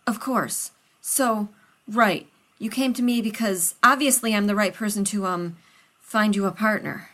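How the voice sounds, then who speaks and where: A woman's voice: medium pitch, measured pace, bright, forceful tone, pitched above the performer's own voice. A woman reading a story aloud, voicing a fussy, rambling male scientist who talks over others. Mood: flustered.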